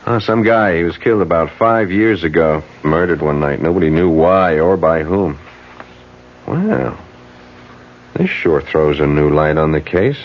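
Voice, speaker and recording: An actor in an old radio drama playing a brisk, persuasive campaign manager who probes into the candidate's past. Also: male voice, low voice. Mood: offhand. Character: fast-talking male campaign manager, shrewd and prying. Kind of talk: 1970s radio drama acting. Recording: dull old radio broadcast.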